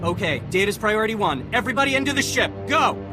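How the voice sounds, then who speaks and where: An actor playing an urgent Republic field officer in a video game, with clipped military delivery and music behind. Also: male voice, sits high, animated, fast. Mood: urgent, commanding. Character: male Republic soldier, urgent field officer, brisk and grateful.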